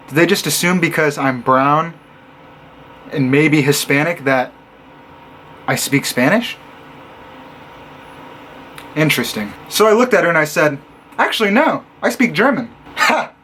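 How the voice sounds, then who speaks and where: A young man from Illinois, a college student, telling stories in a casual vlog with animated sarcasm. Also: fairly high pitch, a little slow, pauses often, a little hiss or hum. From Illinois, USA. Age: young adult. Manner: animated and sarcastic, acting out others' lines.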